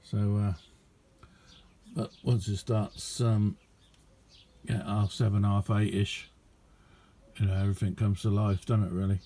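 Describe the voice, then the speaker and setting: A man's voice: lowish voice, flat delivery, a little slow, dark tone. A middle-aged man, chatting casually in a rambling, understated, self-deprecating way as he shows a hobby project. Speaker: amateur radio hobbyist showing his kit. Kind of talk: casual hands-on video chat. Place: small indoor radio room.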